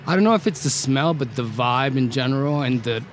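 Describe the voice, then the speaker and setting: A man from Chile, a photographer, chatting as a podcast guest, relaxed and a bit hesitant. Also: mid-range voice, a little fast, faint music behind. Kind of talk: casual chat.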